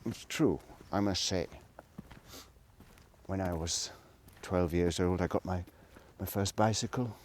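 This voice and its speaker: Middle aged guy, lowish voice, just rambling and thinking out loud, kinda hesitant. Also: takes it slowly, slight background noise. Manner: hesitant, thinking aloud, trailing off. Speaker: solo video blogger. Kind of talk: rambling, reflective casual monologue.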